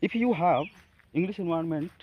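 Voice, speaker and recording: A man from India with an Indian accent, a Hindi speaker, talking haltingly to camera about practicing English, with many fillers and restarts. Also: a little high, muffled recording, a little hiss or hum. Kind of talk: casual talk to camera.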